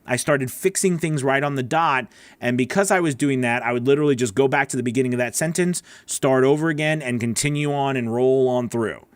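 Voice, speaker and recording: A man's voice: medium pitch, a little fast, few pauses, no room sound. A man hosting a casual livestream Q&A as a voice-over coach, rambling with many fillers and restarts.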